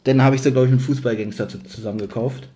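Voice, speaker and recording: A man's voice: medium pitch, fairly even intonation, fast, warm tone. A man with a German accent chatting casually as a podcast co-host.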